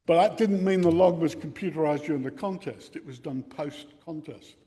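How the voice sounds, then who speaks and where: A man's voice: a little high, normal speed, mellow. Older man lecturing in a reverberant hall. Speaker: presenter giving a talk on radio contesting. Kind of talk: informal lecture with explanations.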